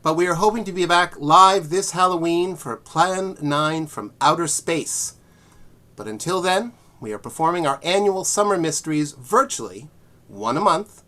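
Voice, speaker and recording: A man's voice: a little high, a bit bright. A man giving a prepared welcome announcement as the host introducing an audio theatre show.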